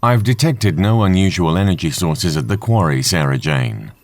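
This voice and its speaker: Guy doing a calm computer for an audio drama, super low voice, measured and formal, polite. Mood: calm, courteous. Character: calm, courteous male supercomputer.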